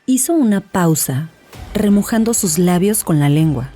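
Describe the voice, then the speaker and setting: A woman with a fairly low voice narrates a dramatized story. Her delivery is scripted storytelling, with clearly audible music underneath. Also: animated, a little slow, dark tone. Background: background music.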